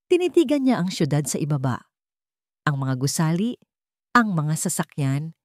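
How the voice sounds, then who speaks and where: A woman narrating a drama story in a measured, expressive storytelling manner. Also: deep voice, no echo, studio quality.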